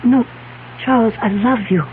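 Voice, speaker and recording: A woman's voice: fairly high pitch, a little slow, close to an everyday voice. A woman playing a cool, determined, scheming fiancée with insistent, articulate delivery in a radio drama. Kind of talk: 1970s radio drama acting. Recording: tinny, noisy old radio broadcast.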